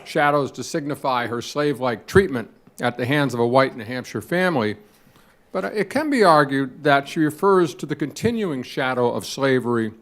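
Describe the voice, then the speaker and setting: A man's voice: medium pitch, animated, moderate pace, a bit bright. Older man, scholarly, thoughtful, panel speaker. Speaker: panelist, senator, lecturer. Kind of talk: panel talk.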